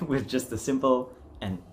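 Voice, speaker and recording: A man's voice: mid-range voice, expressive, measured pace, slight background noise. A man hosting a casual voice acting challenge, chatty, giggly and self-deprecating. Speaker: amateur voice acting challenge host.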